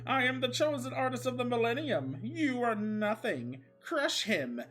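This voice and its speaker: A man's voice: very high voice, very animated, measured pace, fairly bright tone, soft background music, pitched above the performer's own voice, exaggerated voice. A man voicing an arrogant, outraged celebrity rapper villain, boastful and theatrical, in a comic story reading. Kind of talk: comic dramatic fan fiction reading.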